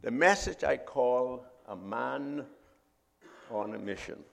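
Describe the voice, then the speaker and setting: A middle-aged man with a Bahamian accent, preaching a sermon as a visiting preacher in a measured, emphatic way. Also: medium pitch, gravelly, fairly bright tone.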